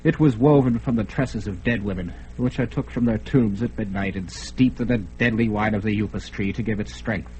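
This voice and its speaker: A man's voice: fairly low pitch, moderate pace, few pauses, darkish tone, lightly acted, rough recording. A man playing Taurus, a chuckling master thief. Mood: urgent. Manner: chuckling, scheming, commanding. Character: cunning male master thief, boastful and bold. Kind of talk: vintage radio drama acting.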